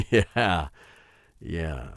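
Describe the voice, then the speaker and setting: A deep-voiced witch hunter for hire, played by a man, blunt and scornful, with a loose swearing edge. He speaks slowly with a lively, swinging pitch. The voice is dry and close to the mic. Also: dry recording, heavily acted. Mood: coaxing. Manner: blunt and sarcastic, with swearing and scoffing laughs. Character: gruff mocking male witch hunter, a poor hired mercenary. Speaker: ASMR roleplay performer. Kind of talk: ASMR roleplay acting.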